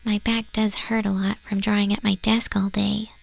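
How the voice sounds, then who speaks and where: A woman voicing a friendly goth moth monster-girl tattoo artist in an ASMR roleplay, chatting gently in a soft, breathy, often whispered voice. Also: mid-range voice, flat delivery, moderate pace, no echo. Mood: curious, chatty. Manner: gentle, casual, chatty, reassuring. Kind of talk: ASMR roleplay, spoken to the listener. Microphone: close microphone.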